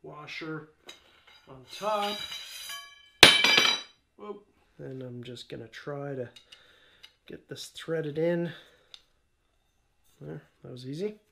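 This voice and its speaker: Casual middle-aged man, a bit high-voiced, talking through a garage build; roomy echo, faint noise, tools clinking behind. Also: slow, gravelly, pauses often. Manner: chatty, offhand, with small jokes and asides. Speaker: DIY home gym channel host. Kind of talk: casual how-to vlog. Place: cluttered garage home gym, indoors. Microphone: camera-mounted mic, a few meters off.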